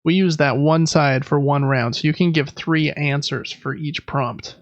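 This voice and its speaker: A man's voice: a little high, steady tone, measured pace, dry recording, lo-fi sound. A man in his thirties talking casually and explaining things as a podcast co-host. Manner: conversational, explanatory. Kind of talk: casual chat about board games.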